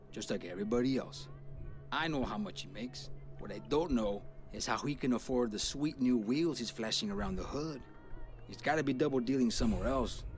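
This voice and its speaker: A man's voice: medium pitch, natural voice. Man playing tough gang boss. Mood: angry suspicion. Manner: street slang, tough talk. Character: tough, vengeful male street gang boss. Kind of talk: scripted video game dialogue.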